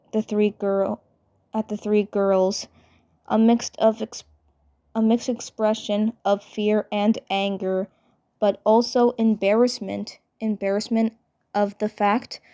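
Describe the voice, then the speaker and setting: A woman reading fan fiction narration aloud, haltingly, often repeating phrases as she goes. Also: mid-range voice, mellow. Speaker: fan dub storyteller.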